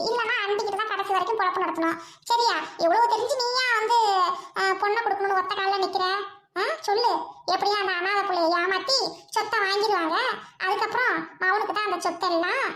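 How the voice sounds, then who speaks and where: Woman doing a shrill quarrelsome woman. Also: bright, forceful tone, echoey room. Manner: scolding, taunting, repeats demands to answer. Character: quarrelsome village woman, sharp-tongued sister.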